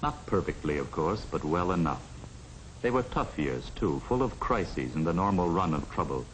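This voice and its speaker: A man's voice: low voice, very animated, moderate pace. A man delivering scripted narration in the style of a 1950 educational film. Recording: faintly noisy 1950 film soundtrack.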